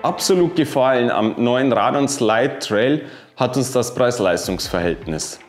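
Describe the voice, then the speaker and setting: Guy in his 30s reading off bike specs, steady tone, roomy room sound, bit of music under it. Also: mid-range voice, measured pace. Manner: even, informative delivery dense with specs. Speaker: bike reviewer. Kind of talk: scripted product review narration. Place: wooden-walled workshop room, indoors.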